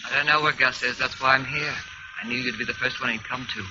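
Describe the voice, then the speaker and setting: A woman's voice: rumbling low, steady tone, fast. Casting: desperate American sister of a man on the run, imploring and worn down, old radio noir, dull noisy recording. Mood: anxious. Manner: urgent, imploring.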